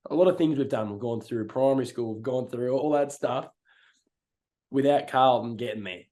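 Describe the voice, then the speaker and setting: A young Australian man in his early twenties, his voice worn hoarse, chats casually as a co-host. His tone is mellow and relaxed, and he rambles excitedly, often breaking into laughter. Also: mid-range voice, moderate pace. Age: young adult. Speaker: podcast co-host. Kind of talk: casual chat.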